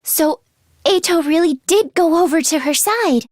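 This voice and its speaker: Very high-pitched, earnest anime-game girl. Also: a little slow, no room sound, clean studio sound. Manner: expressive, earnest, emotionally heightened delivery. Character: earnest, anxious, emotional teenage girl student defender. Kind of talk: English video game voice acting.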